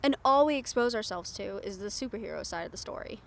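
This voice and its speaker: A woman's voice: mid-range voice, animated, fast talker, vocal fry. A woman playing a bold, sarcastic, foul-mouthed reporter in an improvised audio roleplay, speaking in a candid, conversational way. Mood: curious, probing. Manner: conversational, exasperated, candid, with sighs and swearing.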